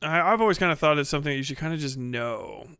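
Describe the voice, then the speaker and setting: Male streamer, slightly high bright voice, loose sweary chat with viewers. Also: racing, clear, resonant tone, no room sound, clean studio sound. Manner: profane, slangy. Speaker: livestream host. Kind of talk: casual livestream chat, reading and answering viewer comments.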